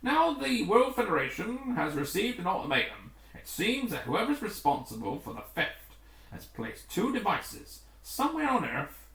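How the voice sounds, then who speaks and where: A man reading the part of a grim, authoritative rescue commander in a storybook, formal and deliberate. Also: sits high, very animated, creaky, fairly bright tone, far from the mic, pitched above the performer's own voice, exaggerated voice. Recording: roomy, echoing room.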